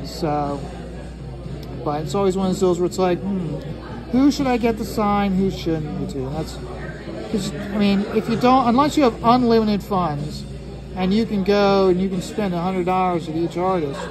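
A man talking casually and ramblingly as he draws, halting often and repeating his phrases. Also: high voice, music in the background, rough recording. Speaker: artist hosting a drawing livestream or video. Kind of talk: casual rambling talk while drawing.

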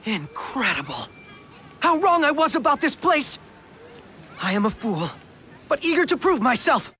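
An actor playing an eager, earnest young martial arts apprentice in a cartoon video game, melodramatic and reverent. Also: male voice, very high-pitched, sing-song, measured pace, pushed and bright, slight background noise, exaggerated voice. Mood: awed, dramatic.

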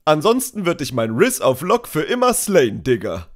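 A man's voice: a little high, huge pitch swings, moderate pace. A man voicing a chummy male TV football host in a parody, chatty and mock-formal. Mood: chummy, presenting. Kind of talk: parody of sports presenting.

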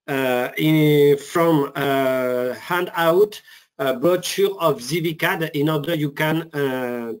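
French-accented man presenting a webinar. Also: a little high. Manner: halting, many fillers.

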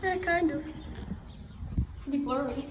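Female urban-explorer vlogger, high voice, dry offhand delivery, phone audio outside with clear traffic noise behind. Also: unhurried. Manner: offhand, dry running commentary. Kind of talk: casual walkthrough narration. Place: outdoors around an abandoned restaurant building. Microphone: handheld phone.

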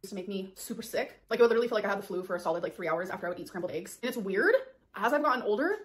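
A woman's voice: medium pitch, animated, rapid-fire. A woman in her thirties chatting to viewers in a casual cooking and eating vlog, filmed in her home kitchen. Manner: chatty, talking straight to viewers. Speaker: vlogger in the reacted video.

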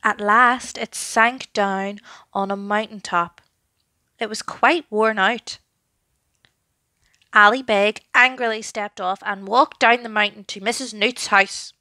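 Unhurried woman storyteller, higher-pitched voice. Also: gravelly, no echo, clean studio sound. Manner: deliberate, dramatic delivery with hushed passages. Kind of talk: expressive children's story narration.

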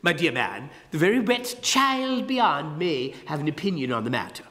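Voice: mid-range voice, sing-song, normal speed, fairly bright tone, voice pushed up. A narrator doing a pompous storybook walrus, grandly courteous and theatrical, his voice raised a little above plain narration. The intonation swings widely, under a long hall reverb. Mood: genial. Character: genial pompous male walrus, beachcomber.

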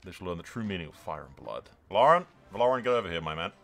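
A man with a fairly low, bright and forceful voice commentates over a strategy game. He is an enthusiastic, rambling host who talks casually to his viewers and drifts into dramatic role-play. Light music plays behind him. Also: animated, racing. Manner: rambling, enthusiastic, sweary, addresses viewers as lads. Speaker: gaming YouTuber, host.

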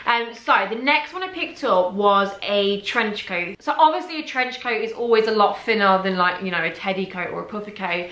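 A woman's voice: mid-range voice, animated, moderate pace, few pauses, a bit bright, reverberant, lo-fi sound. A young woman in her twenties chatting to viewers about clothes she bought, her voice a little worn from talking. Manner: chatty, addresses viewers as guys. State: slightly losing her voice. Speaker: fashion vlogger. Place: bedroom, seated on a bed.

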